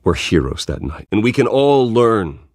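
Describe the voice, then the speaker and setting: An actor playing a composed, earnest billionaire heir who speaks in measured oratory and can turn defiant, in a video game. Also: male voice, lowish voice, big pitch swings, darkish tone, no room sound, studio quality. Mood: solemn, earnest. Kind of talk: video game voice acting, public speeches.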